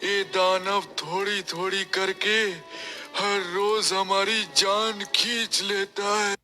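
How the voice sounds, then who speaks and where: A man's voice: high voice, flat delivery, slow, sharp tone, soft background music, full character voice. A man playing a weary, despairing captive village uncle in a cartoon fairy tale, plaintive and suffering.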